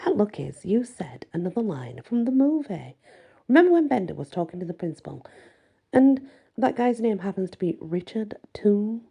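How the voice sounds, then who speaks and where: Woman playing a teasing, husky teenage werewolf girl. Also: mid-range voice, normal speed, pitched above the performer's own voice, heavily acted. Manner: teasing, animated. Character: seventeen-year-old werewolf girl, playful, teasing, smitten.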